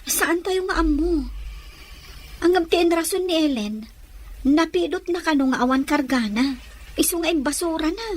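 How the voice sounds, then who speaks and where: An earnest, loyal young woman in love, as an actress plays her in a radio drama. Her voice is distinctly high, with sincere dramatic delivery. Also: lively intonation, normal speed, long pauses. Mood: tender. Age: young adult. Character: sincere, loyal young sweetheart, upset but trusting.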